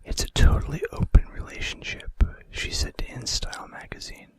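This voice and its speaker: A man's voice: normal speed, fairly bright tone. Male ASMR narrator, whispered throughout, chatty and informal, reading out trivia. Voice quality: breathy unvoiced whisper. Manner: casual, conversational asides. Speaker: ASMR video host.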